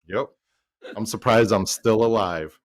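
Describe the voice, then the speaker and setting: A man's voice: fairly low pitch, measured pace, a crowd in the background. A man in his fifties chatting easily as a co-commentator on a darts stream. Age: 50s. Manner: chatty, easygoing storyteller. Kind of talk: casual chat on a stream.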